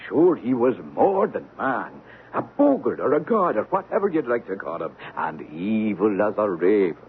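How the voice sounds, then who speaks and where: An awed storyteller, telling of monstrous evil in a radio play. His lowish voice swings wildly and dramatically, through a tinny, hissy vintage broadcast. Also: big pitch swings, moderate pace. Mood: awed, ominous. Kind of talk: old-time radio drama acting.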